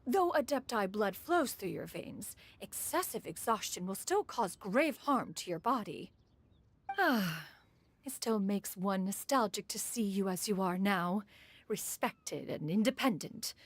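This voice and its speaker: A woman's voice: medium pitch, animated, unhurried, no echo. A woman voicing a proud, dignified ancient immortal in a fantasy video game, with a formal, haughty, motherly manner and archaic wording. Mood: formal, fond.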